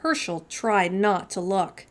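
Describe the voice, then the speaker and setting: A woman's voice: mid-range voice, animated, takes it slowly. A woman hosting children's storytime, reading a storybook aloud with dramatic, suspenseful phrasing.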